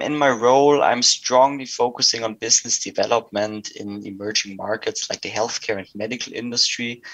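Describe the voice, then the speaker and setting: A man's voice: medium pitch, a bit bright, no room sound, low-quality recording. A man from Austria with an Austrian accent, a native German speaker, explaining things methodically as an interview guest. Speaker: interview guest, sales director.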